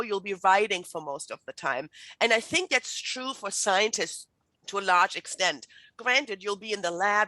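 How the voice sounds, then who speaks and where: A woman's voice: mid-range voice, pushed and bright, no echo, poor audio. A middle-aged woman from Germany, German native, giving a chatty online writing workshop with many fillers and restarts. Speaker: writing instructor. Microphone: video call.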